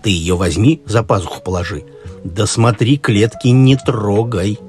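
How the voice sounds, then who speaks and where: A man's voice: fairly low pitch, measured pace, a little mellow, close to an everyday voice. A man voicing a loyal grey wolf from a fairy tale, folksy and instructing. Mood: commanding. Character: gruff, helpful grey wolf, commanding and sympathetic. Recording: music behind the voice.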